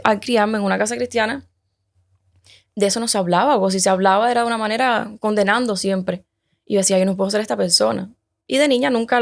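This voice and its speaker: A woman in her thirties from Cuba shares her personal story in a relaxed conversation. Her voice has a gravelly, fry-laden edge, and she speaks haltingly, often restarting her sentences. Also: a little high. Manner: hesitant, halting, with many restarts. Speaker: guest. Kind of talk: personal testimony in casual conversation.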